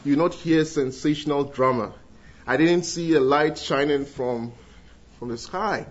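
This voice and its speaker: Ghanaian man, middle-aged, earnest church testimony, muffled recording. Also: a little high. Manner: earnest, with filled pauses and restarts. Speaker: guest speaker giving testimony.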